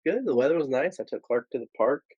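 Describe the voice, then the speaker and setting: A man's voice: mid-range voice, expressive, fast, mellow, slightly rough, no echo. A man co-hosting a casual podcast, speaking in short, laid-back replies. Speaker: podcast co-host. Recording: dull, muffled microphone.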